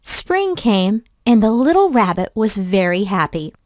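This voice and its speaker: A woman reading a children's story aloud in an expressive, deliberate storybook manner. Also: mid-range voice, no room sound, clean studio sound.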